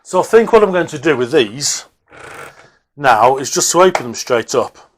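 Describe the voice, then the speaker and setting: A man's voice: mid-range voice, lively intonation, normal speed. A man presenting a hobby video, giving casual, unscripted workbench commentary in a hesitant way, as if thinking aloud.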